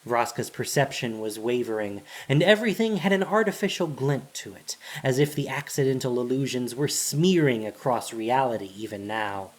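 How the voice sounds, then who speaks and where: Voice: mid-range voice, animated, moderate pace, hardly any pauses. A narrator reading a scripted fantasy story in a dramatic storytelling style.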